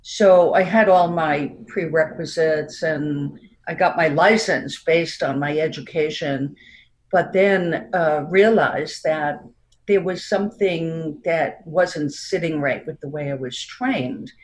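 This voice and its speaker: A woman in her sixties with a New York accent, speaking as a therapist guest in a reflective, unhurried way with frequent light laughs. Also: sits low. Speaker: marriage and family therapist guest. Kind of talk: reflective interview talk.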